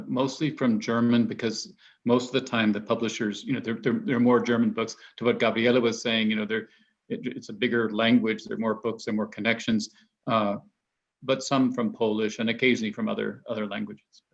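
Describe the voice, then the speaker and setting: A man's voice: lowish voice, flat delivery, brisk, lo-fi sound. A man from Texas, a literary translator, talking casually and hesitantly with many restarts. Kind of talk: casual panel conversation.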